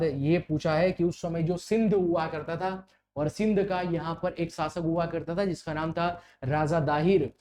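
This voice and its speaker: Male exam coach, higher-pitched voice, explanatory and repetitive, with some background noise. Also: darkish tone. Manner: repetitive, explanatory, questioning the students. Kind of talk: classroom lecture for exam preparation.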